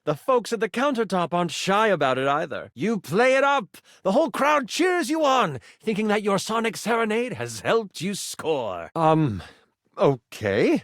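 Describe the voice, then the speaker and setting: An actor voicing a living twenty-sided die, an eager, playful game master who narrates a tabletop adventure theatrically and expressively. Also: male voice, sits high, moderate pace, no echo, studio quality. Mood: dramatic, suspenseful. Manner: dramatic storytelling, expressive, playful.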